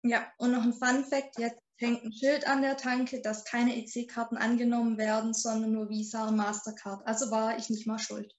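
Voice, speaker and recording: A woman's voice: on the high side, flat delivery, moderate pace, few pauses, roomy sound, dull audio. A 21-year-old woman, a student co-hosting a podcast, telling stories in a chatty, casual way. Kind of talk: casual chat.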